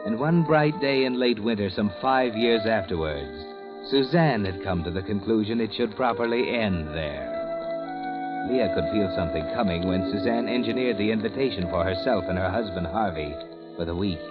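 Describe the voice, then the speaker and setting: An actor playing a mysterious male narrator who walks by night, telling a 1940s radio drama in a slow, knowing voice, on a dull old broadcast with loud music behind. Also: on the low side, animated, lightly acted. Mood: brooding. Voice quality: slow, ominous, knowing delivery.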